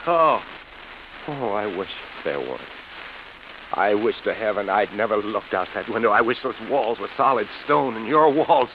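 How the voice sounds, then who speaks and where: An actor playing a sleepless, obsessive artist in an old radio horror play, halting and theatrical, pleading and morbid. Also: male voice, fairly low pitch, lively intonation. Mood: anguished. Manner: theatrical, halting, repeats words in mounting agitation. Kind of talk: golden-age radio horror acting. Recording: muffled, hissy old radio recording.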